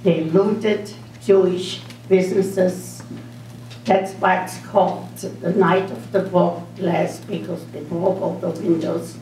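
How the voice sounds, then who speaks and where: A woman's voice: lowish voice, flat delivery, takes it slowly, warm tone. An older woman from Austria telling her story earnestly to a school audience as guest speaker. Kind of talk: speaking to students. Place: school auditorium. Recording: echoey hall, distant mic, faint hum.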